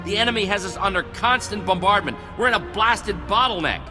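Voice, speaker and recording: Man as a higher-pitched, harried army captain. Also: close to an everyday voice. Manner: brisk, blunt, weary. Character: harried, gruff-talking male army captain in wartime. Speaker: military officer. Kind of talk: dramatic scripted game acting. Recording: long reverb, music behind.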